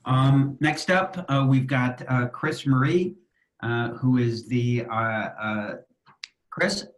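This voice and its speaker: A man moderates a conference call as its host, speaking informally. His voice sits at a medium pitch, with a roomy echo around it. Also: slow pace.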